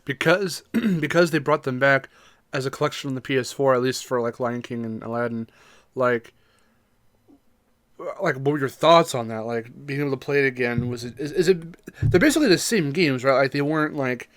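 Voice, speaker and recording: A man chats casually as a podcast co-host at a middling pitch. He feels his way through his thoughts, rephrasing as he goes. The sound is dry, with very little room echo. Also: moderate pace.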